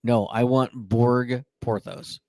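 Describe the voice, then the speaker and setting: A man's voice: mid-range voice, steady tone, slow, a little mellow, no room sound, clean studio sound. A man hosting a podcast, chatting casually and joking, with frequent fillers. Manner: playful, jokey, many fillers. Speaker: podcast host. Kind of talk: casual chat.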